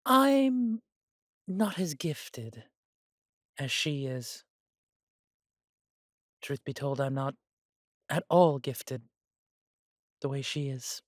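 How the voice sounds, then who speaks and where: A man playing a warm, humble elf brother in a scripted fantasy audio roleplay, speaking in measured, slightly formal phrases. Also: mid-range voice, expressive, no room sound, studio quality. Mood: wistful, humble. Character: warm, humble elf brother without magic, devoted to family.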